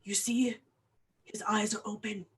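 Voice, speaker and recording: A woman playing a troubled, watchful doctor in a grave, dramatic Shakespearean reading, husky and at times hushed. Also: mid-range voice, flat delivery, measured pace. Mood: troubled, foreboding. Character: troubled, watchful doctor observing a sleepwalking patient. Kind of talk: Shakespearean acting in an online staged reading.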